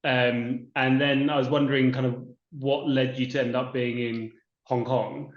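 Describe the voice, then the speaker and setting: Man, mid pitch, hesitant questioner, roomy echo, dull sound. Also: level tone, a little slow. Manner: hesitant, rambling, hedging with "sort of". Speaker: audience member asking a question. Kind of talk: asking a long question.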